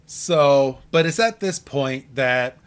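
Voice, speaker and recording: A man's voice: medium pitch, slow, clear, resonant tone. A man on a podcast panel, casually recapping and joking along. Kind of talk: casual episode recap discussion.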